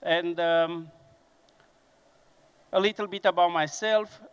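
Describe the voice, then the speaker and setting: A man in his fifties with a Romanian accent addresses a conference audience in English, his second language. His voice sits a little high and sounds smooth and resonant. He speaks carefully and haltingly, often pausing and restarting as he goes. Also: fairly even intonation. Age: 50s. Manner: unhurried and halting, with frequent fillers and restarts. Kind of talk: industry conference presentation.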